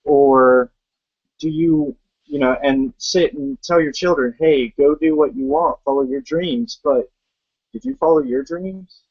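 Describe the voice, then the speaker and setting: A man's voice: fairly high pitch, unhurried, breathy edge, no echo. A young man giving an earnest motivational talk and driving his points home with rhetorical questions. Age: young adult. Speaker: network marketing guest. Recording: dull muffled sound.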